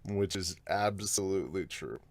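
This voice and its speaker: A man co-hosting a casual manga reaction podcast, blunt and excitable, given to swearing and reading comments aloud. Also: deep voice, normal speed. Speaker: podcast co-host reviewing manga.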